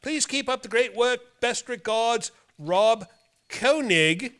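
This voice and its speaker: A man's voice: extremely high pitch, animated, slow, sharp tone, smooth. A man hosting a show, reading out mail and bantering in a theatrical, playful way. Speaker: host of a horror movie show.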